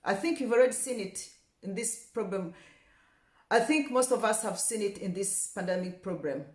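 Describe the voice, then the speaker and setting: A woman's voice: medium pitch, animated, normal speed, darkish tone. A young woman from Rwanda talking casually to camera, chatty and repeating words for emphasis, turning passionate. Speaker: vlogger. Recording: echoey room.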